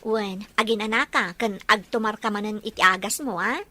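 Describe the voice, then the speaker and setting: A woman's voice: medium pitch, normal speed, bright, forceful tone, close to an everyday voice. A woman playing an understanding, caring young girlfriend in an Ilocano audio drama.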